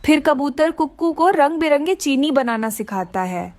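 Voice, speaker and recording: A woman narrates a children's story from a script. Her voice is high, with very lively rises and falls. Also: normal speed.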